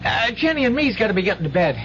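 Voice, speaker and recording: A man's voice: high voice, very animated, very fast, a bit bright. An actor playing a wary, evasive boy in a 1970s radio drama. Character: wary country boy, brother of a teenage girl. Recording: dull 1970s radio broadcast with music.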